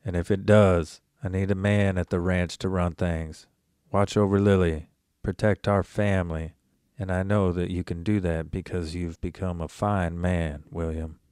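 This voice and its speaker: Man acting a low, mellow, weary rancher father. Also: steady tone, moderate pace, smooth, dry recording, clean studio sound, close to an everyday voice. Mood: solemn, tender. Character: weary, resolute rancher father, stubborn and tender. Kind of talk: fan audio drama acting of a Western.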